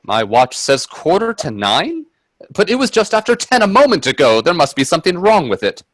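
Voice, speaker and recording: Nervous polite gentleman, skeptical, stammers a lot, pitch all over, radio play, dry sound. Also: huge pitch swings, normal speed, dry recording, exaggerated voice. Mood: nervous, puzzled. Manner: stammering, nervous chuckles, deferential. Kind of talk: radio play acting with narration.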